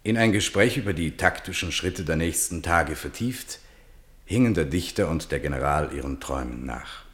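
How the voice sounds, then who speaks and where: Very deep, solemn male narrator. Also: lively intonation, normal speed, a bit bright, echoey room. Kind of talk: solemn literary radio-play narration.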